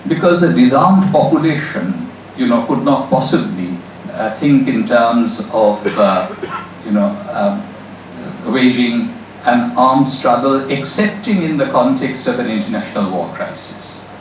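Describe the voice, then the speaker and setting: A male professor giving a measured, emphatic academic answer to an audience in a lecture room. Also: medium pitch, expressive. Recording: echoey hall, distant mic, faint noise.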